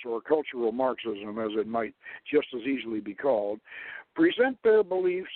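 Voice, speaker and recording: A man hosting a radio talk show, lecturing in a deliberate, halting way. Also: mid-range voice, no echo. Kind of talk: talk radio lecture, partly read aloud. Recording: tinny, phone-like radio line.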